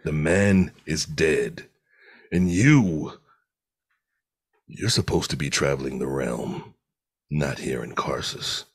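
Fantasy game villain, played by a man: very low, slow, gravelly, cold and menacing. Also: exaggerated voice. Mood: cold contempt. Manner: deliberate, threatening. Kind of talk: dramatic character voice reel acting.

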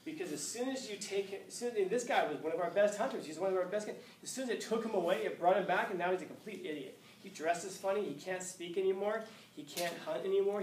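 A man in his fifties, a high school teacher lecturing a class, acting out scenes with playful voices. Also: darkish tone, a little hiss or hum. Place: classroom, indoors. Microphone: far camera. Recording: echoey classroom from far off.

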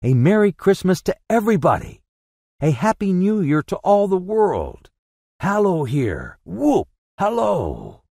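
A man performs an old miser from a Victorian storybook, newly repentant and full of fervor. He exclaims and swoops across a very wide range of pitch. The voice is dry and close, with a soft, mellow edge. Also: huge pitch swings, a little slow, no echo, exaggerated voice. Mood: giddy joy. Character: elated repentant old miser, giddy, pleading, Victorian Englishman.

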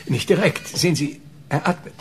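A man's voice: on the high side, lively intonation, brisk, lightly acted. An actor playing a desperate, self-justifying, cowardly father in a radio drama, agitated and evasive, stammering as he talks. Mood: evasive, defensive.

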